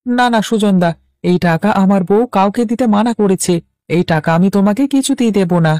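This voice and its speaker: An actor voicing a naive, dithering young village husband who is anxious to please in a cartoon. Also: male voice, high voice, normal speed, darkish tone, no room sound, clean studio sound, close to an everyday voice. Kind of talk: Bengali cartoon voice acting.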